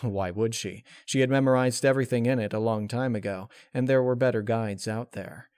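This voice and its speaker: A man's voice: mid-range voice, fairly quick, a little mellow, clear, resonant tone, no room sound. A man reading a piece of fan fiction aloud as a scripted narration. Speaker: storyteller.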